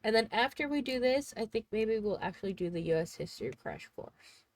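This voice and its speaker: A woman's voice: a little high, animated, a little fast, dry recording. Congested chatty woman streamer. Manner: rambling, chatty, thinks aloud. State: congested, sneezing. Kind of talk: casual livestream chat.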